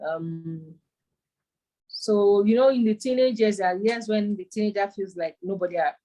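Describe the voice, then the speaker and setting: A Nigerian woman in her thirties, a poet and author, recalling her childhood over a video call, pausing to search for words. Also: mid-range voice, dark tone, rough recording. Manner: thoughtful, searching for words with frequent ums. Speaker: interviewee, performance poet and author. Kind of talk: remote interview, casual reminiscing.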